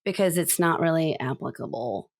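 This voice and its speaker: A woman with a naturally raspy voice co-hosting a podcast, telling stories in animated, playful casual chat. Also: deep voice, normal speed, background noise. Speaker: podcast co-host.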